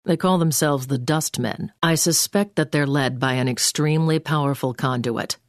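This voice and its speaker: A woman's voice: low-pitched, moderate pace, no echo, clean studio sound, close to an everyday voice. A woman voice actor playing a stern, authoritative intelligence handler who gives clipped, businesslike mission orders in a video game. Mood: grave briefing.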